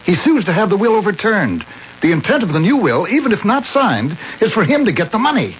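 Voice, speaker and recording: An actor playing a shrewd attorney in a radio drama, brisk and reasoning things out aloud. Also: male voice, high voice, sing-song. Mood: excited, deducing. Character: brisk, shrewd, reluctant male attorney friend. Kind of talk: 1970s radio drama acting. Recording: muffled, hissy old radio broadcast.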